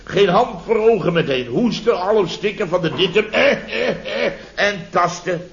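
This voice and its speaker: An actor playing a flustered contractor in a 1970s radio comedy, telling domestic mishaps in halting, repetitive sentences full of tag questions. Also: male voice, sits high, lively intonation, gravelly, heavily acted. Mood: animated storytelling. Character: flustered male contractor recounting his chaotic domestic mishaps. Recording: dull vintage radio recording.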